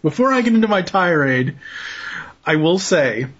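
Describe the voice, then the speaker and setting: A man's voice: sits high, big pitch swings, normal speed, fairly bright tone, husky. A blunt, opinionated man talking casually as a podcast panelist. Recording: dull lo-fi podcast audio.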